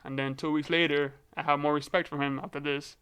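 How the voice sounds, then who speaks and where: Young man, stutters, clear bright tone, relaxed storyteller for a podcast chat. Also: fairly high pitch, fairly quick. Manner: stutters, repeats words. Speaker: podcast guest.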